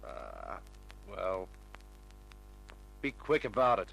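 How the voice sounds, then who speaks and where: An actor playing a flustered, grudging police records officer, protesting and hesitant, in an old-time radio drama. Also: male voice, sits low, huge pitch swings, drawn-out words, vocal fry, a bit bright, husky, full character voice, low-quality recording.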